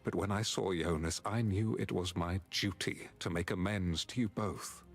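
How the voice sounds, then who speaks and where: An actor playing an earnest, dutiful knightly warrior in a fantasy video game, speaking formally and gravely. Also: male voice, low voice, fairly even intonation, normal speed. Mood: resolute. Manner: formal, declamatory.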